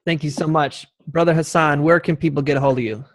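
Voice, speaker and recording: A man's voice: fairly high pitch, steady tone, fast talker, studio quality. A man moderating a live online town hall, courteous and thankful as he addresses guests and audience with devout phrasing.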